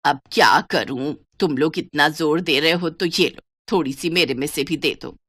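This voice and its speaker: A woman's voice: low voice, normal speed, fairly bright tone, slightly rough, no room sound, voice pushed down. A woman voicing a grumpy, greedy old grandmother who scolds and complains in a moral story. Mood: grudging, annoyed.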